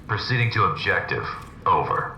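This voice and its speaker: An actor playing a gruff, cynical special agent in a video game, speaking in terse, sardonic military reports. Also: male voice, deep voice, sing-song, moderate pace, sharp tone, highs cut off, heavily acted. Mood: terse, businesslike. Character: gruff, cynical male special agent, hardened war dog. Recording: echoey room, clear background noise.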